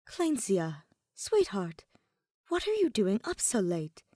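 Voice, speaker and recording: A woman voicing a gentle, quietly strong wife and mother, soft, tender and deliberate in an audio story. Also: medium pitch, wildly swooping pitch, no room sound, studio quality, exaggerated voice.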